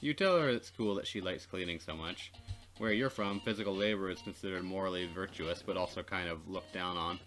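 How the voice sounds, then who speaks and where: A man reading a visual novel aloud as a Let's Play narrator, expressive and playfully dramatic. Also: low-pitched, a little fast, faint music behind.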